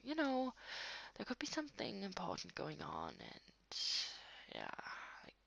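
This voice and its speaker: A woman improvising an intimate one-sided roleplay as a tired, affectionate writer talking to a partner late at night, halting and breathy, often dropping to a near whisper. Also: mid-range voice, huge pitch swings, lo-fi sound. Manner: hesitant, halting, with frequent sighs and soft laughs. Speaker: audio roleplay performer.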